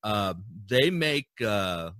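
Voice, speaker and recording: A man hosting a casual chat among artist friends, teasing and quick to laugh, recorded at home on a good microphone. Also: lowish voice, sing-song, fairly bright tone, no echo, clean studio sound. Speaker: podcast host and editor. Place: home, not a professional studio. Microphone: professional microphone.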